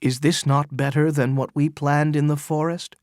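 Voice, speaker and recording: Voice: mid-range voice, little pitch movement, moderate pace, a little mellow, dry recording, clean studio sound, close to an everyday voice. A narrator playing a dying young minister. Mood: resolute. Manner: solemn, declaiming. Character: dying young male minister, tormented yet resolute.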